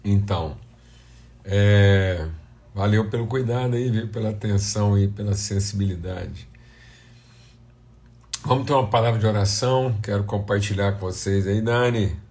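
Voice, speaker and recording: A Brazilian man, slightly hoarse and congested, speaking as a pastor in an informal, conversational devotional talk with prayer. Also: fairly low pitch, moderate pace, long pauses, darkish tone. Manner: conversational, addressing viewers as family and brothers. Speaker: pastor hosting a devotional livestream.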